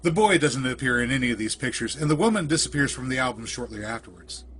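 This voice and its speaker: Male narrator, medium pitch, for scripted creepy fiction; deliberate, measured storytelling delivery. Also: expressive, a bit bright, slight background noise. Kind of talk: scripted first-person spooky story narration.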